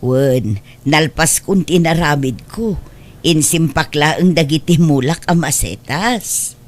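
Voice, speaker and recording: Casting: woman as elderly Ilocano mother, gentle yet stubborn, lowish voice, family radio drama. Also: slow pace. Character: stubborn, gentle elderly mother attached to her old house.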